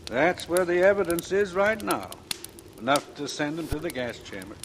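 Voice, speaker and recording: Methodical police captain, noisy recording. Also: male voice, fairly high pitch, big pitch swings, moderate pace. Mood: matter-of-fact. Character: seasoned, methodical police captain, calmly certain. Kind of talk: 1940s radio crime drama acting. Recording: noisy old radio recording.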